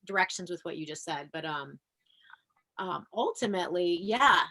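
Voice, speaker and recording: A woman musician talking earnestly in a relaxed conversation, reflective, with frequent fillers and restarts. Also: lowish voice, moderate pace. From American. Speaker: folk punk musician and music educator. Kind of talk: casual conversation.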